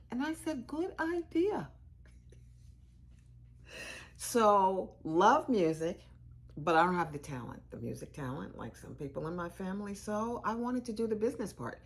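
An older actress playing a candid law school dean reminiscing in a conversational monologue, emphatic and given to repeating phrases. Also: female voice, mid-range voice, slightly rough, heavily acted. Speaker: actor in a dramatized narrative. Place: room with bookshelves.

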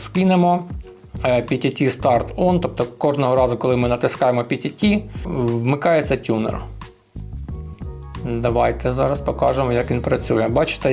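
A man's voice: medium pitch, creaky, a little mellow. A man explaining equipment settings step by step in a casual hands-on tutorial, often using cute diminutive words. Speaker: amateur radio vlogger.

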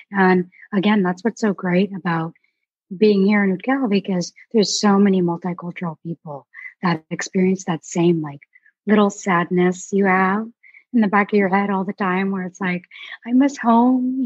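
A young woman from Alaska, an artist chatting as a guest in a relaxed, rambling way with many fillers. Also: mid-range voice, moderate pace, slightly rough, dry recording, dull audio. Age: young adult. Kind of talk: casual interview chat.

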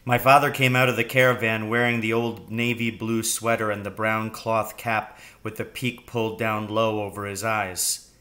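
A man's voice: lowish voice, little pitch movement, normal speed, pushed and bright. A middle-aged man narrating a children's storybook, reading aloud. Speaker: reader. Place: room at home, indoors.

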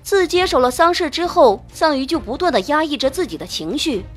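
A woman's voice: high voice, animated, brisk, faint music behind. A woman narrating a novel in a scripted, dramatic audiobook style.

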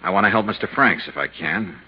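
An actor playing a cool, shrewd insurance investigator in a 1950s radio drama, terse, measured and matter-of-fact. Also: male voice, low-pitched, slightly rough. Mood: cool, persuasive. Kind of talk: 1950s radio drama, hard-boiled narration and dialogue. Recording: muffled 1950s radio recording.

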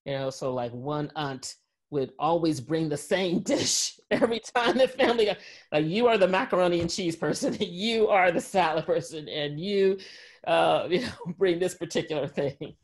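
A woman's voice: on the low side, lively intonation, normal speed. A woman in her forties moderating a panel from home over a video call, chatty and full of fillers. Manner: chatty, many fillers, laughs. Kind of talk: casual panel discussion. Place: home room, indoors. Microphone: video call webcam.

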